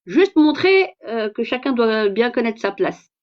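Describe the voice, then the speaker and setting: French woman, Parisian accent, outspoken livestream host, high voice, muffled lo-fi audio. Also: sing-song, a little slow. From France. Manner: hesitant, many fillers, outspoken. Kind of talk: casual livestream talk.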